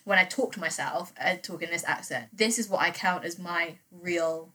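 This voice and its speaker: A woman in her twenties with a Filipino-English accent, chatting casually and telling animated stories with many fillers. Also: lowish voice, normal speed. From Philippines. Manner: animated storyteller, lots of fillers. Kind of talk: casual chat.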